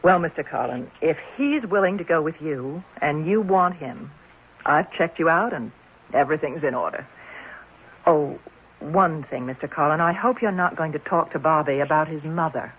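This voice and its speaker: A woman playing a brisk, skeptical head of a children's home, prim and matter-of-fact, in a 1970s radio drama. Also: low voice, breathy edge. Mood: prim, firm. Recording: muffled, slightly noisy old radio broadcast.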